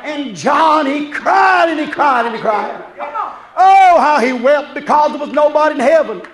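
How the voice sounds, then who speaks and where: Man preaching fervently in a very high-pitched shout. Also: expressive, a little slow. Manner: shouted exclamations with repeated refrains and calls to the congregation. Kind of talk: fervent Pentecostal-style revival sermon. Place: preaching live before a congregation. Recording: live congregation heard around the preacher. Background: congregation crowd.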